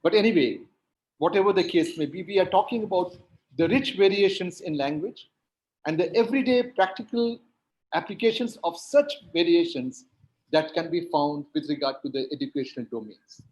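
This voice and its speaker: A man whose native tongue is Hindi and Urdu speaks at a panel like a lecturer. His voice sits a little high, and he stretches out stressed words and builds emphasis through repeated phrases. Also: a little mellow, poor audio. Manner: emphatic, rhetorical repetition, drawn-out stresses. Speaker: linguistics academic, panelist.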